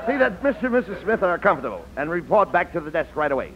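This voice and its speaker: An actor playing a bossy, impatient hotel desk boss in a radio comedy. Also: male voice, high-pitched, big pitch swings, fast talker. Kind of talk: 1940s radio comedy acting. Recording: very noisy, muffled old radio broadcast.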